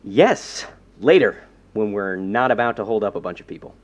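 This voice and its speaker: A man playing an earnest young self-styled superhero in an audio drama, talking informally and haltingly. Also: on the low side, animated, close to an everyday voice. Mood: resolved. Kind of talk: naturalistic audio drama dialogue. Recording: slightly dull, muffled recording.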